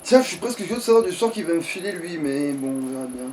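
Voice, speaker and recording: A man's voice: on the high side, expressive, fast, a little mellow. A man playing a video game and commenting casually in slangy, mildly crude language. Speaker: let's play gamer. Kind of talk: casual gaming chat while playing. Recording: distant mic with clear background noise.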